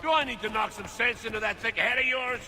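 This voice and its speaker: An actor playing a hostile, bullying prison guard in a video game, barking menacing, contemptuous orders. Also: male voice, extremely high pitch, fast, bright, forceful tone, heavily acted. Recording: long hall reverb.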